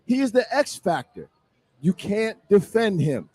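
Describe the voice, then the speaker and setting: A man with a high voice and dry, close sound talks football on sports radio. He is emphatic, hammering points home by repeating his phrases. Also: sing-song, a little slow, a little mellow, breathy edge, dry recording. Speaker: sports radio analyst and co-host. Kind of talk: football commentary in casual discussion. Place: live remote broadcast at a casino.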